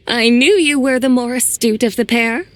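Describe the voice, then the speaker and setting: A woman's voice: a little high, lively intonation, a little slow. An actress voicing an ancient, aloof female mountain spirit who talks in formal, old-fashioned phrasing with dry teasing. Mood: amused. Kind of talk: video game voice acting.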